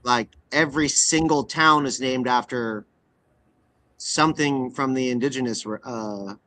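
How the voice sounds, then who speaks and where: A man who grew up in the Pacific Northwest hosts a casual podcast conversation. His voice sits at an ordinary middle pitch. He asks long, winding questions with eager energy. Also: measured pace, pushed and bright. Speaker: podcast host.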